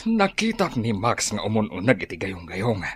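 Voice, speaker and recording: An actor playing a short-tempered, scornful middle-aged rural father in an Ilocano radio drama, with an Ilocano accent and a husky voice. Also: on the low side, huge pitch swings, normal speed, a bit bright, heavily acted. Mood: grumbling, uneasy.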